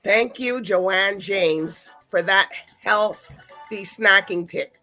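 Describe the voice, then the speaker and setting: A woman hosts a talk show with a bright, forceful voice, rambling casually from thought to thought. She speaks over faint background music. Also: medium pitch, extremely slow. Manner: rambling, loose and chatty. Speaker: show host.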